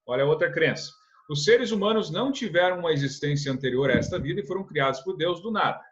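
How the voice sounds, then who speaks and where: A man's voice: fairly high pitch, expressive, fast talker, dull audio. A man from teaching a church lesson, earnest, asking rhetorical questions and often adding a tag question. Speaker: religious instructor.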